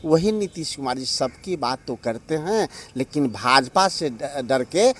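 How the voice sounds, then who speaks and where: A man's voice: on the high side, expressive, measured pace, a bit bright. A man giving a critical press sound bite as an opposition politician.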